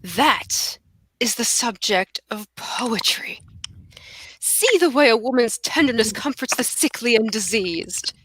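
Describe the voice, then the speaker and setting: A woman's voice: a little high, animated, takes it slowly, a bit bright, full character voice. A woman at a table read playing an idealistic, romantic male poet with a theatrical, lyrical delivery. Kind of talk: cold table read of a stage play.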